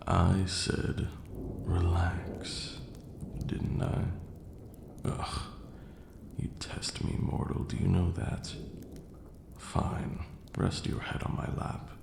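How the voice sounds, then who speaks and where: A man playing a stern, aloof vampire lord in an ASMR roleplay, speaking in a formal, haughty way with a gravelly, breathy near-whisper. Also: low voice, monotone, full character voice. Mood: grudging, exasperated. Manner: formal, haughty, slow scolding lecturing delivery. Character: stern aloof ancient male vampire lord, grudgingly caring. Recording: roomy echo, clear background noise.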